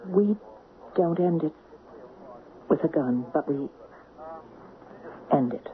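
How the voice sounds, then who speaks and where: An actress playing an anguished, fervent young woman in love in a radio drama, with dramatic, deliberate delivery. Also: low voice, lively intonation. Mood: solemn, resolute. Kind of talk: 1970s radio drama acting. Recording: thin phone-like 1970s radio, faint noise.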